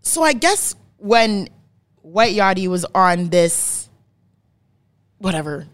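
A woman's voice: mid-range voice, very animated, takes it slowly. A woman in her twenties from Canada, hosting a podcast in casual, opinionated talk, emphatic and swearing freely as she repeats words for stress. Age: 20s. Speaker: podcast host. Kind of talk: casual chat, opinionated explaining.

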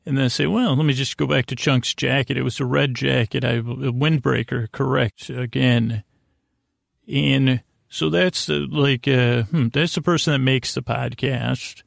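A middle-aged man hosting a bedtime podcast, rambling in a halting, stammering way with self-deprecating, gently silly asides and tangents. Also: medium pitch, steady tone, vocal fry, no room sound, studio quality. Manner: halting, stammering, repeats words and trails off into tangents. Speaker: podcast host.

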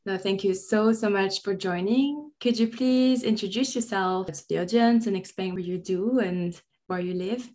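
Woman podcast host, mid pitch, reads intro then asks polite questions. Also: normal speed, hardly any pauses. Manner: polite, warm questions with soft laughs. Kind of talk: scripted intro and interview questions.

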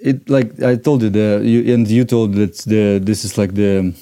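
Low, mellow Ukrainian man, halting English. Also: fairly even intonation. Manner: halting, searching for words in non-native English. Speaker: podcast guest. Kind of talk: conversational interview answers.

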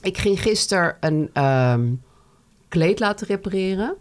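A woman's voice: mid-range voice, expressive, a little slow. A woman co-hosting a podcast, telling a story in casual chat with many fillers and restarts. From Netherlands. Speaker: podcast co-host.

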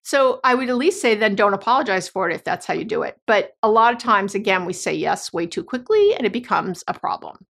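A woman hosting an advice talk, chatty and a little hoarse, often restarting her sentences and laughing. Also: medium pitch, very animated, brisk, few pauses, a bit bright. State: slightly hoarse. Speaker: podcast host and coach. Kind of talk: casual advice monologue.

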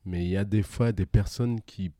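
A man's voice: lowish voice, steady tone, normal speed, warm tone, dry recording. A middle-aged man from Guadeloupe, a guest in a casual interview, talking hesitantly with many restarts and easy laughter.

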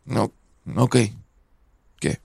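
A man's voice: drawn-out words, darkish tone, dry recording, clean studio sound. A man playing a melancholy, grieving man in a naturalistic audio drama, with an Argentine accent and a hesitant, introspective way of talking. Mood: subdued. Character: melancholy, grieving, dramatic man, older than his friend.

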